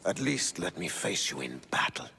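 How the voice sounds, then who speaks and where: Aging Spartan king for a game: husky, forceful, declamatory, swinging widely in pitch, long reverb. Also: big pitch swings, normal speed, a little hiss or hum, exaggerated voice. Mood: grave, questioning. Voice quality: husky, gravelly, raspy. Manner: imperious, declamatory. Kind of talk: dramatic video game cutscene acting. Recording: long echoing hall reverb.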